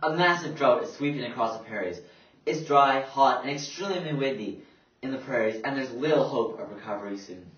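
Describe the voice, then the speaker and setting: A man's voice: medium pitch, brisk, a bit bright, natural voice. A man playing a serious 1930s radio news co-anchor, reading scripted reports. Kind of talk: scripted news broadcast acting. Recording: echoey, dull, distant amateur recording.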